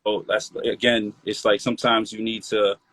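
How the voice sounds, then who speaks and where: A man's voice: lowish voice, level tone, moderate pace, fairly bright tone, dry recording. A man in his late twenties, a rapper from Maryland, talking loosely in a casual interview, full of "like" and "you feel me". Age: 20s. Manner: laid-back, loose, peppered with "like" and "you feel me".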